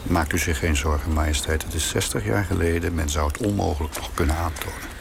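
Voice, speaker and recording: Brief: very deep, gravelly secretive court adviser, radio drama with background noise. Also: male voice, quick pace. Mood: reassuring. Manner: hushed, reassuring, secretive. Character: discreet older male court adviser. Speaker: loyal courtier.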